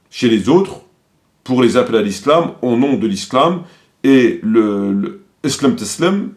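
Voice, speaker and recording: A man giving argumentative, accusatory spoken commentary, insistently repeating phrases for emphasis. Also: mid-range voice. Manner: accusatory, polemical. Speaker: commentator presenting a video.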